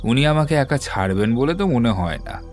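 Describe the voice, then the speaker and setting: An actor playing a composed, methodical detective, explaining things in a measured, assured way in an audio drama. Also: male voice, medium pitch, expressive, lightly acted. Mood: composed, explanatory. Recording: background music under the voice.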